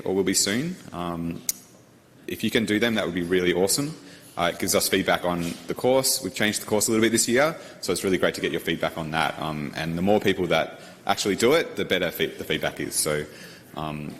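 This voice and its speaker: A man gives class announcements in a low, deep voice, filling his sentences with ums and uhs. He sounds a little distant in a big lecture hall with a long reverb tail and faint hiss behind. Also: a bit bright. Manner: halting, many ums and uhs. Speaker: university lecturer. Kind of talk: lecture announcements and guest introduction.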